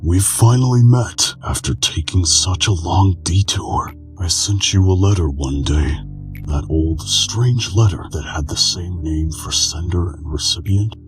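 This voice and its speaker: Man acting a very deep, weary solemn keeper. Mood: solemn, gentle. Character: weary old keeper of memories, calm, solemn, melancholic. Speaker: amateur voice actor in a game fandub. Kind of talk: dramatic scripted monologue, game dubbing.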